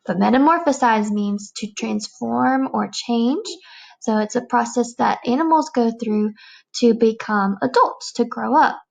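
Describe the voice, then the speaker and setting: A woman's voice: on the high side, unhurried, vocal fry. A woman teacher giving a read-aloud lesson for young children, explaining things emphatically and checking understanding. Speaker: elementary teacher reading aloud.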